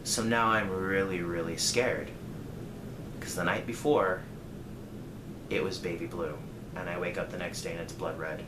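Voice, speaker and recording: Male casual storyteller, lowish voice, rambling and conversational with dramatic emphasis; echoey, noisy room recording. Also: fairly even intonation, moderate pace, long pauses. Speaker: storyteller vlogger. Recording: echoey room, clear background noise.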